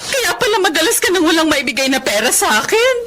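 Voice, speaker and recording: A woman's voice: very high-pitched, big pitch swings, quick pace, noisy background. A woman playing a distressed, lamenting wife and mother in a Filipino radio drama.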